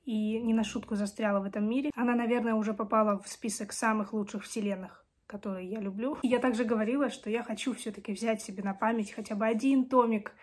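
Young woman with a sore throat, high-pitched. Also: fairly even intonation, quick pace, hardly any pauses, a little mellow. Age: 20s. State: sore throat, voice strained from a cold. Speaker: book vlogger. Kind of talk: casual chat to camera about books. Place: small home room with bookshelves.

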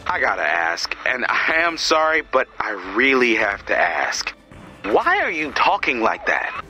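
Exasperated team leader, very bright voice. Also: male voice, mid-range voice, wildly swooping pitch, unhurried, soft background music, exaggerated voice. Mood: wary, patient. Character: exasperated, no-nonsense heroic male team leader. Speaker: mission commander. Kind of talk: video game mission dialogue.

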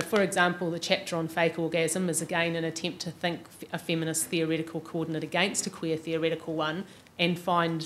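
Low-pitched Australasian woman, discursive and thoughtful. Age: middle-aged. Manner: discursive, thoughtful, qualifying as she goes. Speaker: keynote speaker, academic. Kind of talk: academic question-and-answer talk. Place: large university lecture room. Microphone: lectern microphone. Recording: clearly noisy lecture room recording.

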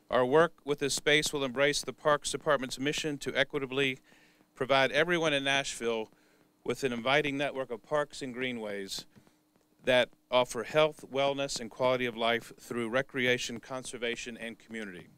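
A man's voice: mid-range voice, steady tone, moderate pace, fairly bright tone, smooth. An older American man, a board chair, reading prepared public remarks at a podium. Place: open parking lot outdoors. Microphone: podium microphones.

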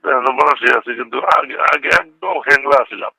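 A man's voice: mid-range voice, quick pace, creaky, bright, forceful tone, no room sound. A middle-aged Bangladeshi man chatting casually on a recorded phone call, repeating himself and checking he is understood. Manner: repetitive, often checks the listener understands. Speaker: local politician.

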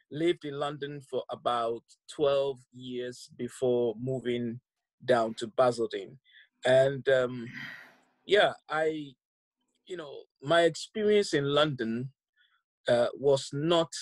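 A middle-aged pastor from Ghana with a Ghanaian accent, telling his story in a measured, reflective way over a video call from home. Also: mid-range voice, dry recording, low-quality recording. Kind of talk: personal testimony on a video call. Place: home room indoors.

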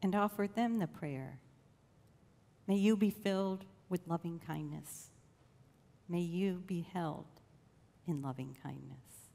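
Low-voiced woman guiding meditation, long reverb. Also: vocal fry. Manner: slow, gentle, soothing with long pauses. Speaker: church meditation leader. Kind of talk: guided meditation. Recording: long reverb of a large room.

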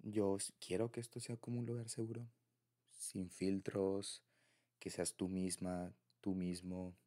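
A man's voice: low voice, little pitch movement, a little slow, dark tone. A man with a Mexican accent speaking in an informal, affectionate, rambling way, as in a personal ASMR boyfriend roleplay.

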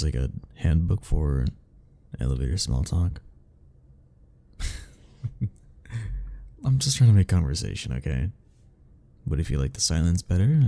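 A man's voice: very deep voice, gravelly, warm tone, no echo, exaggerated voice. A man playing an anxious, sarcastic, self-deprecating young man in a romantic ASMR roleplay, speaking in a wry, rambling way to the listener. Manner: sighing, wry, rambling, chuckling.

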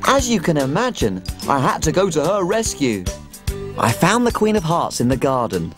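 A man's voice: a little high, big pitch swings, moderate pace, over music. A man playing a tiny, cheerful cook who tells a children's storybook tale in a bouncy, sing-song way. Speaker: children's TV presenter.

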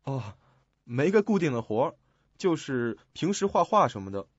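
A man playing a polite, curious painter who is easily impressed, with a husky voice, in a radio drama. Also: mid-range voice, sing-song, normal speed, dry recording. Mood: modest, polite. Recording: muffled, dry lo-fi audio.

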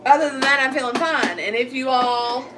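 A woman's voice: high voice, moderate pace. A woman in her thirties with a head cold and a congested voice, chatting rambly as she cooks in her home kitchen. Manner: rambling, chatty, talks while chopping. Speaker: vlogger cooking at home. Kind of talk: casual cooking vlog chat. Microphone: phone camera set across the counter. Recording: distant phone mic with clear background noise.

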